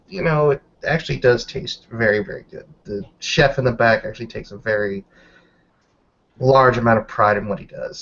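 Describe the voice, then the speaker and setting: A man's voice: mid-range voice, dull audio. A man improvising narration as game master of a tabletop roleplaying session.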